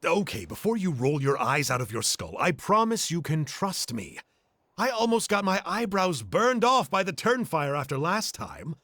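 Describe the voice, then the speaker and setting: A hammy storytelling uncle. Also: male voice, fairly high pitch, sing-song, moderate pace, sharp tone, no room sound, full character voice. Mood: theatrical. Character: boastful, theatrical adult male storyteller uncle. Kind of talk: video game voice acting.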